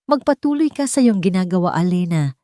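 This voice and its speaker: A woman voicing a reserved, wealthy male hacienda owner in a story, quiet, measured and sparing with words. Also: on the low side, expressive, no echo, studio quality, natural voice. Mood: reserved, approving.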